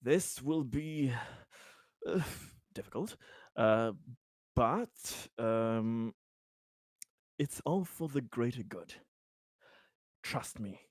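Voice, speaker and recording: A man playing an earnest, secretive schemer in a tabletop game, reassuring someone in halting speech. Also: mid-range voice, expressive, no echo, clean studio sound.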